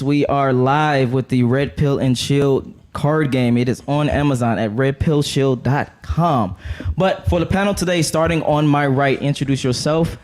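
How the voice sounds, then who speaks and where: A man's voice: mid-range voice, a little mellow. A man hosting a podcast panel, talking casually with a lot of slang. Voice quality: slangy, informal speech. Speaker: podcast host.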